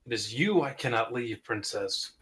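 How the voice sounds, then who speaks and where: A man's voice: medium pitch, animated, normal speed, a bit bright, husky. A man playing a devoted fatherly dragon in a fantasy script table read, with earnest, grandiose storybook phrasing. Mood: solemn devotion.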